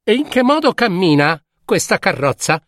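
Guy doing an old court minister from a storybook, high voice, eager and wheedling, very up and down. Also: big pitch swings, moderate pace, a bit bright, no echo, clean studio sound. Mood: puzzled.

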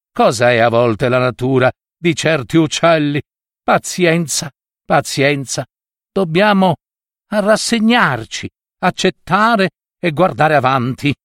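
A man voicing a sly, flattering fox with a wheedling, drawn-out manner. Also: on the high side, dry recording, studio quality, voice pushed up. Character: sly flattering vixen, sweet-talking and mocking.

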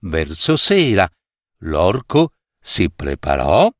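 A man narrating a fairy tale as an expressive storyteller. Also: low voice, slow, no echo, studio quality.

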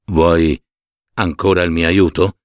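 A man voicing a wise, generous python in a folk tale, speaking in a grave, measured, admonishing way. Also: low voice, flat delivery, no room sound, studio quality, voice pushed down. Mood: grave, kindly.

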